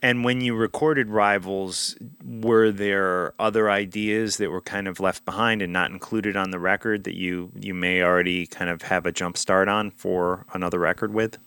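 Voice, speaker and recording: A man's voice: low-pitched, fairly even intonation, normal speed, hardly any pauses, pushed and bright, clean tone, dry recording. A man hosting a metal podcast, asking an interviewee questions in a friendly, upbeat fan manner.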